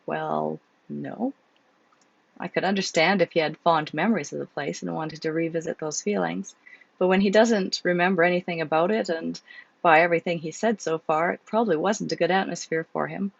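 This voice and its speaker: A hoarse woman reading as a guarded man with a troubled past. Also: lowish voice, moderate pace, no room sound, dull audio. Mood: thoughtful. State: hoarse with a cold.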